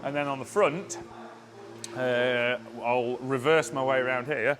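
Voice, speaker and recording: Male fabricator, casual build update, halting delivery, faint workshop noise behind. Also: mid-range voice, fairly even intonation. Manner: halting, restarts often, plain technical talk. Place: indoor car workshop.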